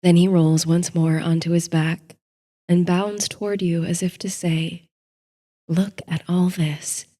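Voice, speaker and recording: A woman narrates a sleep story like a guided meditation, in a soft, soothing, unhurried voice that turns breathy at the ends of phrases. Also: fairly low pitch, flat delivery. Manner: slow, soothing, unhurried delivery. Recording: soft music under the voice. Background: soft music.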